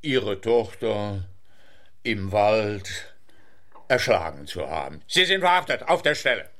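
An actor playing a smug, bumbling police officer in a comic radio play, speaking in a theatrical, officious way. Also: male voice, lowish voice, sing-song, takes it slowly, fairly bright tone, exaggerated voice. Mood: officious, triumphant. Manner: theatrical, officious, muddles his sayings.

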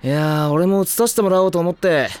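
A man's voice: sits high, a little fast, a little mellow, clear, resonant tone, no echo, close to an everyday voice. An actor playing a laid-back, casual teenage boy teammate in an anime drama CD. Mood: breezy. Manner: casual, breezy.

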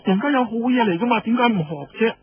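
A man's voice: extremely high pitch, fairly even intonation, fast talker, voice pushed up. A man voicing an eager, headstrong teenage son of a rebel king in an audio story, pleading and arguing. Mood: indignant pleading. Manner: pleading, argumentative. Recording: dull lo-fi audio, faint noise.

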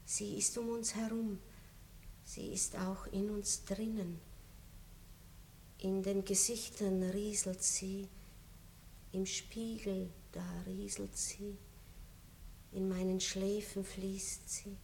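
A woman's voice: medium pitch, flat delivery, slight background noise. A wistful aging noblewoman. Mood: melancholy. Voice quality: soft, measured, melancholy. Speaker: Marschallin in radio play. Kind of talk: 1960s radio drama acting.

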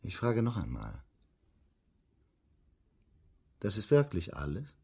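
An actor playing a methodical, politely insistent English police inspector in a radio drama, speaking deliberately and with theatrical emphasis. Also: male voice, on the low side, wildly swooping pitch, gravelly, a little mellow, breathy edge, full character voice. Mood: probing.

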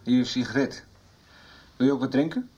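A blunt and impatient lover played by a male actor in a radio soap. His voice sits at a middle pitch. He talks in a casual, dismissive way. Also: fairly quick, dark tone, highs cut off, faint music behind, natural voice. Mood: offhand, blunt. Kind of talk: Dutch radio soap acting.

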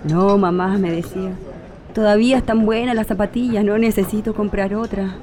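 A woman's voice: mid-range voice, steady tone, moderate pace, mellow, close to an everyday voice. An actress playing a tender, nostalgic middle-aged Chilean mother back from exile, reminiscing in a radio drama. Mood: fond, nostalgic.

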